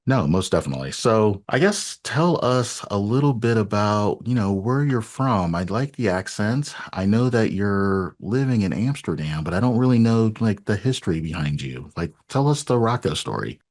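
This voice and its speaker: A man's voice: fairly low pitch, very animated, moderate pace, hardly any pauses, a little mellow. A man hosting a podcast, talking casually and loosely with lots of "you know" fillers. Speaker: podcast host. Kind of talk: casual interview chat.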